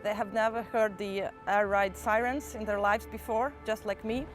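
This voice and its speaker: A young woman from Ukraine with a Ukrainian accent, a motivational teacher calmly and earnestly reflecting in her own words. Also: mid-range voice, music in the background. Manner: calm, earnest and measured, with small restarts. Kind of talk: personal first-person reflection.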